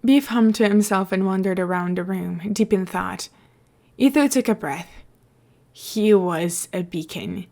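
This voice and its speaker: A woman's voice: mid-range voice, measured pace, gravelly, warm tone. A woman reading and talking as a podfic narrator, in an informal storytelling style. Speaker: podfic reader. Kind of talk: story narration and casual commentary.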